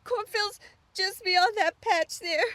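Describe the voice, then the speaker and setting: A woman's voice: very high voice, takes it slowly, bright, forceful tone, dry recording, heavily acted. A woman voicing a timid young farm boy, childlike and pleading, in an audio drama. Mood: panicked, sobbing.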